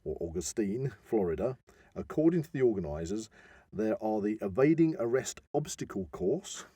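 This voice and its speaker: A man's voice: on the low side, expressive, normal speed, dark tone, husky, no room sound. A British man co-hosting a podcast in casual, jokey, rambling chat, recorded close up in a studio. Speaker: podcast co-host. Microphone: close studio microphone.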